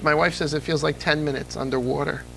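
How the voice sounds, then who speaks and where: A man's voice: on the high side, fairly even intonation, fast talker, creaky, fairly bright tone, breathy edge, poor audio. A middle-aged man chatting casually as an interview guest, telling anecdotes with enthusiasm and emphatically repeating words. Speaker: interview guest, old-time radio fan.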